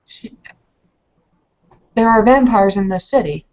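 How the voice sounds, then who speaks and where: A woman playing a naive young werewolf newcomer in an improvised roleplaying game, speaking haltingly and questioningly and often repeating herself. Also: medium pitch, expressive, darkish tone, slight background noise. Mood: confused, uneasy. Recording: dull lo-fi voice recording.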